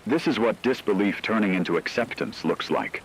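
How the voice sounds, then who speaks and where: A man playing a solemn radio anchor, reading a scripted emergency broadcast in a measured, ominous manner. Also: fairly low pitch, lively intonation, slight background noise, rough recording. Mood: grave, ominous. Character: grave male radio anchor reporting a looming war.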